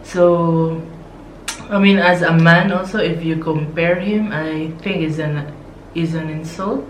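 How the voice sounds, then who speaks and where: A woman with a Filipino accent, a teacher, chatting casually as host in a naturally loud voice and often agreeing with "mm". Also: low-pitched, steady tone, slow pace. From Philippines. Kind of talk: casual chat. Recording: echoey room, faint noise.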